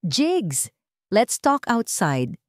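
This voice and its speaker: A woman's voice: a little high, huge pitch swings, drawn-out words, no room sound, studio quality, voice pushed up, heavily acted. A woman voicing a guilty, panicked husband in a drama story, remorseful and begging to explain. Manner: pleading, remorseful.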